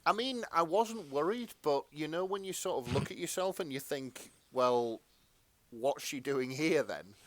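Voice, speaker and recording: A man's voice: a little high, lively intonation, a little slow, fairly bright tone, no room sound. A man with a Northern English accent, co-hosting a podcast and telling anecdotes in casual chat. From northern England. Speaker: podcast co-host.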